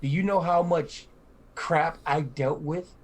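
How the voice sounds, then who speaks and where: A man's voice: fairly high pitch, steady tone, takes it slowly. A man in his fifties or older talking emphatically in a casual discussion, repeating phrases for stress. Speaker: podcast panelist.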